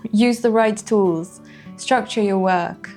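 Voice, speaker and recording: A woman's voice: medium pitch, very slow, darkish tone, soft background music. A young woman from northern Sweden, an illustrator, talking to camera in a casual, thoughtful, frank way, with fillers like "like" and "so yeah.". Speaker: illustrator and video creator. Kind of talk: casual reflective talk to camera.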